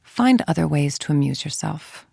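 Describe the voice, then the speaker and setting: A scheming female scientist for a video game: low, heavily creaky voice, formal, controlled, dryly superior. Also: lively intonation, normal speed, mellow, dry recording, clean studio sound, full character voice. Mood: cold, threatening. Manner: articulate, formal, dryly condescending. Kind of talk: scripted game monologue, dictated logs and letters.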